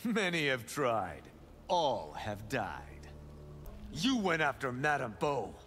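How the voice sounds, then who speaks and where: A proud fighter from a video game. His sharp voice is dismissive and deliberate, moving freely in pitch over music. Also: wildly swooping pitch, heavily acted. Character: proud, cocky male fighter in a broad hat.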